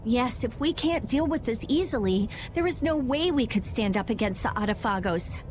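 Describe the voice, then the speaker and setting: A woman's voice: fairly high pitch, animated, moderate pace, noisy background, natural voice. Blunt, prickly teenage girl genius played by a woman. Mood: brisk confident. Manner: curt, exasperated. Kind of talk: anime video game dub acting. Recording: loud game music behind.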